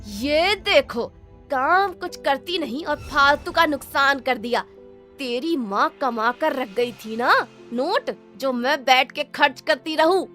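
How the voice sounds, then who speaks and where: A woman voicing a cruel stepmother in an animated moral story, scolding with loud, sarcastic taunts. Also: high voice, slow, faint music behind, pitched above the performer's own voice, heavily acted. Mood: furious.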